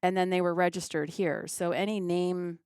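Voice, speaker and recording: A woman's voice: on the low side, fairly even intonation, moderate pace, a little mellow, clean tone, no echo, clean studio sound. A woman guest on a podcast explaining things carefully in casual conversation and thinking aloud with asides. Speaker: genealogy society guest.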